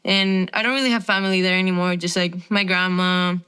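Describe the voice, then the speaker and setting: A woman's voice: lowish voice, fairly even intonation, fairly quick, pushed and bright, clear, resonant tone. A young woman from Venezuela, a professional tennis player, chatting casually as an interview guest with lots of filler words. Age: 20s.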